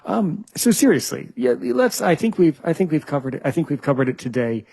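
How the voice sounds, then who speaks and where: A man's voice: fairly high pitch, very animated, brisk, gravelly, mellow, breathy edge. A man hosting a podcast interview over a video call, joking and teasing his guest, with lots of restarts and "you know". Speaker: podcast host.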